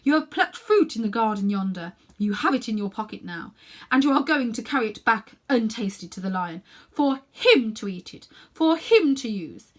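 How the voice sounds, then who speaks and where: Woman as a proud, forceful storybook witch queen. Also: fairly high pitch, expressive, normal speed. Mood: urgent, tempting. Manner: imperious, emphatic, coaxing. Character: proud, commanding, tempting evil witch queen. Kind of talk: children's storybook character reading.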